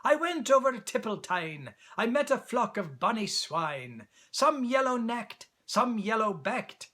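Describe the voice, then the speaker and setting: A man's voice: very high voice, very animated, measured pace, pushed and bright, pitched above the performer's own voice, full character voice. A man voicing a cheeky, impertinent young squirrel from a storybook, chanting taunting rhymes in a sing-song way. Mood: playful, singing.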